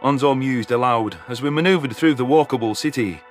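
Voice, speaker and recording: A man's voice: mid-range voice, brisk. A man reading scripted first-person science fiction narration as an audio story narrator. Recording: music under the voice.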